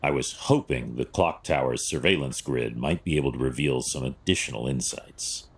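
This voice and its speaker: An actor playing a stern, brooding masked vigilante detective in an audio drama, gravelly and husky, speaking in terse commands. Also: male voice, rumbling low, moderate pace, heavily acted. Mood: serious, measured.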